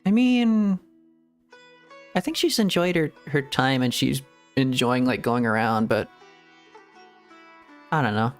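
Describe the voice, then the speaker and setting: A man's voice: medium pitch, slow pace, pauses often, faint music behind. A man streaming a game, chatting casually in a rambling, giggly way that often trails off and restarts. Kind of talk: casual gameplay commentary.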